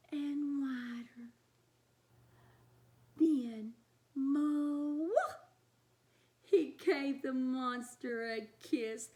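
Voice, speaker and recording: A woman reading a storybook aloud for young children, expressively, with chatty asides to her listeners. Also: sits high.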